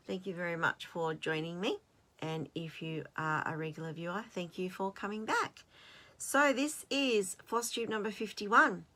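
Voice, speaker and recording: Chatty Australian woman, bright voice. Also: fairly low pitch. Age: 50s. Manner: chatty, rambling, self-deprecating with fillers and restarts. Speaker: host. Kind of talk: casual chat.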